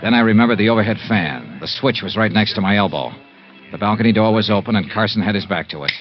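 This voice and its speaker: A hard-boiled American investigator from an old radio drama played by a male actor, with a low voice that swings widely in pitch. He is clipped and wry, and the vintage recording is slightly muffled. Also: expressive, fast talker, over music, natural voice. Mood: wry narrating. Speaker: lead actor and first-person narrator. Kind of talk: 1950s American detective radio drama acting.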